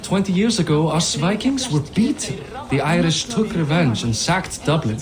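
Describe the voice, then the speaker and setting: A man's voice: fairly high pitch, expressive, moderate pace, crowd noise, natural voice. An actor playing a boisterous, proud middle-aged Viking king in a video game cinematic, hearty and boastful as he declaims.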